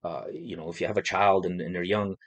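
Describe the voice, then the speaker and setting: Male podcast host, low husky voice, loose rambling delivery full of restarts. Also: fairly even intonation, brisk. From Poland. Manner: rambling, many restarts, frequent "right?". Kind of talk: casual discussion.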